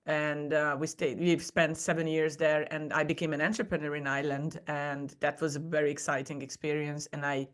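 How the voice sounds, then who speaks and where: A woman's voice: low voice, flat delivery, normal speed, hardly any pauses. A woman who speaks English as a second language, telling personal stories as a guest on a podcast. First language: not English. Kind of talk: personal storytelling in a conversation.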